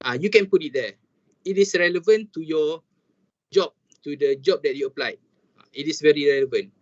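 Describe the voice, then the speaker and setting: A young Malaysian man with a Malaysian English accent, giving an informal online workshop talk in a halting way, with many fillers and Malay words mixed in. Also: fairly high pitch, darkish tone, no echo. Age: young adult. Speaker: workshop speaker. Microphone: video call. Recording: dull, dry lo-fi call audio.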